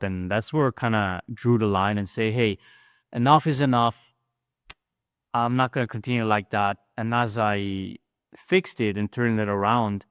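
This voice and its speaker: Middle-aged male guest, earnest and fluent, low and smooth, dry close recording. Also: no room sound. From Switzerland. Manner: earnest, fluent with many "right?" tags. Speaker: guest, fitness coach. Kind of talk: casual interview talk.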